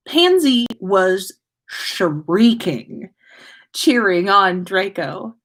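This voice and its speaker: A woman's voice: medium pitch, a bit bright. Woman doing a casual story stream, takes her time, mid-range voice. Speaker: podcast host reading listener fan fiction aloud. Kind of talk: expressive story reading and casual livestream chat.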